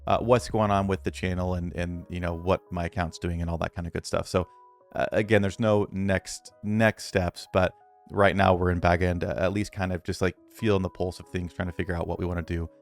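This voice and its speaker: A man with a deep, low voice talks straight to his viewers in a loose, unscripted way. He is earnest and rambling, restarts his sentences, and leans on plenty of ums and uhs. Soft music plays faintly behind him. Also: flat delivery, brisk. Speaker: mobile strategy game content creator. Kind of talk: casual talk to viewers.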